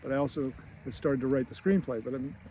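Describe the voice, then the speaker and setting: A man's voice: medium pitch, fairly even intonation, quick pace, dark tone, slight background noise, low-quality recording. A middle-aged man with a Boston accent, a screenwriter talking casually to camera outdoors near a beach, rambling and chatty with frequent restarts. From United States. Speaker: screenwriter and teacher.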